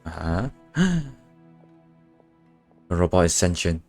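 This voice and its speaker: A streamer with a low voice reacts casually to a game. The remarks are muttered and deadpan, and faint game music plays behind them. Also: takes it slowly, gravelly. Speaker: live streamer.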